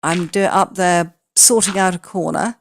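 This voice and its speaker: A woman with an English accent, chatting in an unhurried, dry way during a building game. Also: lowish voice, steady tone, creaky, slight background noise. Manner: dry, unhurried, plain-spoken. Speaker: gaming channel host. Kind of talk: casual gaming chat.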